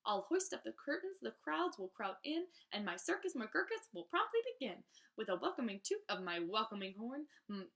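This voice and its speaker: A woman with a fairly high voice reads rhyming children's verse aloud like a teacher. Her pitch moves in big, lively swings. She delivers lines theatrically, stressing and stretching key phrases. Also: normal speed, vocal fry. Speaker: teacher reading aloud to students.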